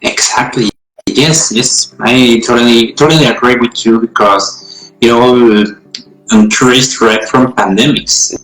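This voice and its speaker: A man hosting an interview, asking questions eagerly and saying yes and okay often, in halting English. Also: medium pitch, fairly even intonation, a bit bright. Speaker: interview host. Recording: echoey room with faint music.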